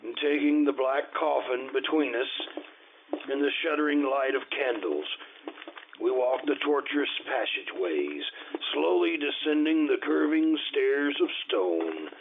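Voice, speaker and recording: An actor playing an anxious, loyal friend who narrates a gothic horror tale in a formal, theatrical old-time radio style. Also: male voice, medium pitch, animated, measured pace, vocal fry, slight background noise. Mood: solemn dread. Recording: muffled, band-limited radio recording with music.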